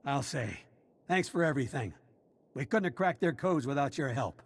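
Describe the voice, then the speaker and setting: An actor playing a frustrated, battle-worn prison officer in a video game, brisk and practical. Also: male voice, medium pitch, lively intonation, vocal fry, slight background noise. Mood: businesslike. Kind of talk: video game character acting.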